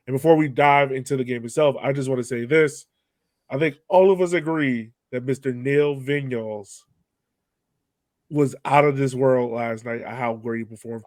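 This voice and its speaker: A man's voice: mid-range voice, dry recording. Casual man hosting a sports podcast, dry sound. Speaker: podcast host.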